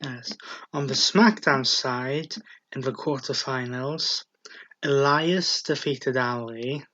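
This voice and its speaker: Slow-talking man, lo-fi recording. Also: mid-range voice, pushed and bright. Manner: slow, hesitant, with fillers and laughs. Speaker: podcast co-host. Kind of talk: casual wrestling chat. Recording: dull lo-fi home recording.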